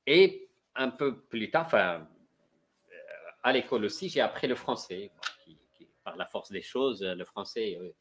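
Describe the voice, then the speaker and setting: A man from Morocco, a poet and translator, speaking slowly and haltingly with many restarts at a panel talk before an audience. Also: on the low side, expressive. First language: Moroccan Arabic. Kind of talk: panel discussion. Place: café venue with audience.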